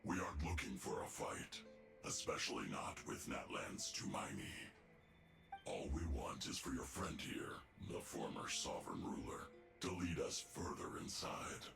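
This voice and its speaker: An actor playing a menacing, calculating villain in a video game, with a gravelly, sometimes breathy voice and a smug, condescending manner. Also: male voice, a bass voice, big pitch swings, moderate pace, fairly bright tone, reverberant, faint music behind, full character voice. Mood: smug menace. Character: menacing, calculating male villain of a tech-stealing faction.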